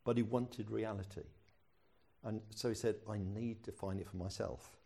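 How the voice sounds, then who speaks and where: Older man preaching, low mellow voice. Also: steady tone, moderate pace, creaky. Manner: conversational storytelling with self-deprecating humor. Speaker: pastor. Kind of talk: sermon.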